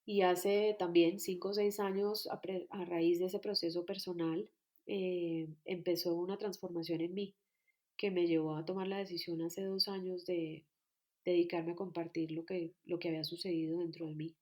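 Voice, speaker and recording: A woman, a guest telling her life story in a calm, earnest, reflective way. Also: on the low side, moderate pace. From Colombia. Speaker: guest, personal growth coach. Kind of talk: reflective personal account. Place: at home near Bogotá.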